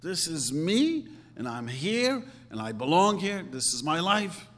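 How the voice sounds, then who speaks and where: A man's voice: sing-song, unhurried, in a large hall. Man, preaching. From grew up speaking Yiddish and Hungarian. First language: Yiddish. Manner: emphatic, swings from low asides to loud outbursts. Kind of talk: sermon.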